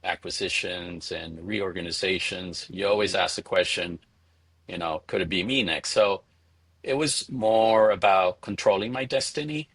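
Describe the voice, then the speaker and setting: A middle-aged man from Venezuela answering interview questions conversationally, in a halting way, repeating words as he thinks. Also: deep voice, a bit bright, breathy edge, no room sound. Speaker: interview guest, spirits entrepreneur.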